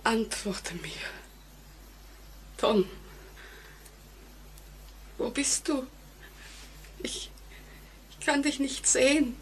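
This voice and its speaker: An actress playing a terrified, guilt-ridden woman in an old radio play, speaking haltingly with melodramatic anguish. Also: sits high, animated, exaggerated voice. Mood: pleading. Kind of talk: 1940s German radio play acting. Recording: noisy old 1949 radio recording.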